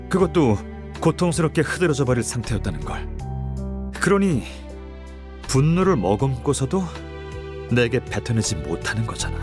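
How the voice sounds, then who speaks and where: A man's voice: mid-range voice, close to an everyday voice. An actor playing a smug, evasive young man in a Korean game, talking in a casual, taunting drawl. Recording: music behind the voice.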